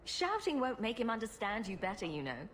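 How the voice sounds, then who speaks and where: A woman playing a sarcastic, quick-witted rogue heroine in a video game, with a wry manner. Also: a little high, wildly swooping pitch, fairly bright tone. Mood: flippant. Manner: wry, flippant, teasing. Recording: faint background ambience noise.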